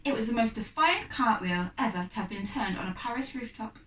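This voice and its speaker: Woman, teacher reading a storybook, expressive deliberate phrasing, slightly high voice, distant microphone. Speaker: primary school teacher reading aloud. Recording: echoey room, distant microphone.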